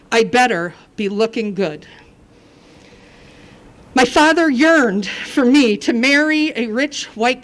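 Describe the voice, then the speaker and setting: A woman's voice: high voice, fairly bright tone. A woman in her fifties from New Hampshire, telling her personal story in an earnest speech at a rally. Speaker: rally speaker.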